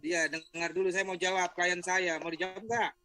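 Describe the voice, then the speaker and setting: A man's voice: high-pitched, little pitch movement, moderate pace, over a noisy crowd. A man arguing defensively in an online debate, often repeating himself. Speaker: debater acting as defence advocate. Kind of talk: argumentative debate talk.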